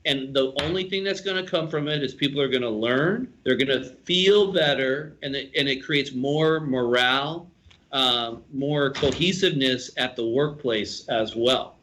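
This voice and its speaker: A man's voice: medium pitch, a little slow, a bit bright, slight background noise, low-quality recording. A man in his fifties, a financial educator guesting on a radio talk show, speaking upbeat and informal. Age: 50s. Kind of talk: casual radio chat.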